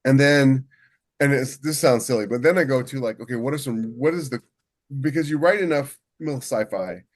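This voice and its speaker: A man chatting casually as a podcast co-host and writer, halting and restarting as he thinks aloud. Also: medium pitch. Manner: halting, thinks aloud with many repeated false starts. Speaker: podcast co-host and science fiction author. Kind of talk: casual chat about book writing.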